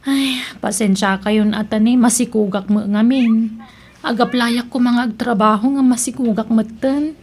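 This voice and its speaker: A woman plays a young Ilocano wife in a radio drama, somewhat high in pitch. She pleads and makes excuses to her in-laws over light background noise. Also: steady tone, normal speed, darkish tone, natural voice. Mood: apologetic, defensive. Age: young adult. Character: apologetic, pregnant young daughter-in-law making excuses.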